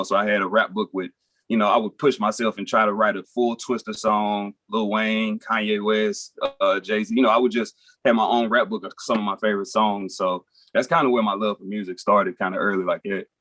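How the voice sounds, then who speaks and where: A young man from Nashville, a rapper, talking in a relaxed, rambling way full of fillers as a podcast guest. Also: on the low side, fairly quick, no echo. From Nashville, Tennessee. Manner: laid-back, rambling, frequent fillers like "you know" and "um". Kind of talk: casual interview chat.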